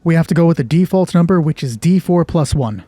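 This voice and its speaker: A man's voice: fairly high pitch, expressive, fairly quick, dark tone. A man narrating a solo tabletop roleplay as game master, thinking aloud in a conversational way.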